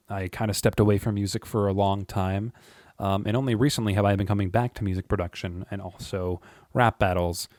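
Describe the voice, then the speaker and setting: A man with a deep voice, chatting casually and rambling to his viewers, with frequent chuckles and filler words. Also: a little mellow, no room sound, clean studio sound. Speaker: YouTube creator. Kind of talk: casual monologue to camera.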